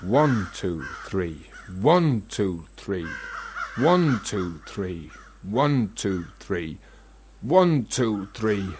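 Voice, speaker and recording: A bitter, philosophical gravedigger in a radio drama. He talks slowly in a brooding, chanting, rhetorical manner. There is clear noise and crows cawing behind him. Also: fairly low pitch, big pitch swings, exaggerated voice. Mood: obsessive, brooding. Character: bitter, obsessive philosophical gravedigger, a working man. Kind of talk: radio play monologue acting.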